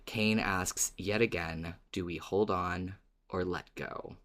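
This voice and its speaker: A man's voice: sits low, steady tone, slow pace, a bit bright. A man co-hosting a podcast, chatting casually and recapping in a playful, wry, dramatic way. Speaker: podcast co-host.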